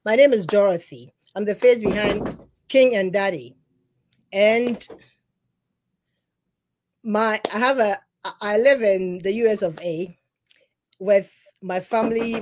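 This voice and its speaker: A woman leading a casual live interview, hesitant with fillers and quick to affirm. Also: medium pitch, darkish tone.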